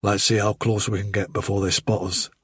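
A calm storybook rabbit scout read by a man, bantering and unbothered. The voice is low-key, a little husky and very dry in sound. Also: a little fast, dry recording. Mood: hushed, playful.

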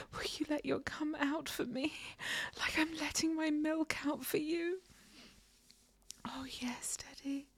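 A woman in an intimate whispered audio roleplay, talking in a breathy, sighing whisper close to the mic. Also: high voice, no room sound.

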